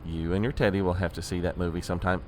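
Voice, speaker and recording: An actor playing a fussy, anxious, long-suffering presidential aide in a comedic audio drama. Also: male voice, low voice, little pitch movement, racing, a little mellow, slight background noise, close to an everyday voice. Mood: patient explaining. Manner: fussy, deferential, hesitant.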